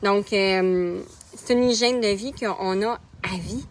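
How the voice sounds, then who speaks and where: A woman's voice: medium pitch, slow. A woman in her thirties with a Québécois French accent, a nutrition coach talking casually in a live stream and greeting viewers by name as she goes. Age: 30s.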